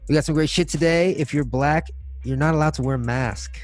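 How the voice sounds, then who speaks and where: A man's voice: fairly high pitch, fairly quick, soft background music. A man co-hosting a podcast in a casual, comedic chat, talking in profane slang. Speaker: podcast co-host.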